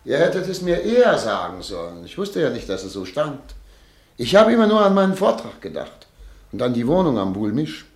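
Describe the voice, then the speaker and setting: Dr Busch, a resigned émigré doctor. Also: male voice, medium pitch, very animated, fairly quick. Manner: subdued, fatalistic, earnest. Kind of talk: radio play acting.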